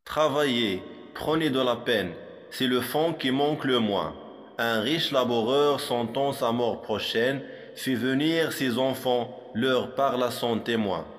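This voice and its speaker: A man reciting poetry for a primary school lesson in a measured, didactic manner, with a long hall-like reverb on his voice. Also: medium pitch.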